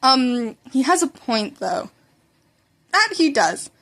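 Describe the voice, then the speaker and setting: A woman's voice: high voice, very animated, slow, a bit bright, full character voice. A woman voicing an earnest adult male hero mentor, formal and hesitant, in a story reading.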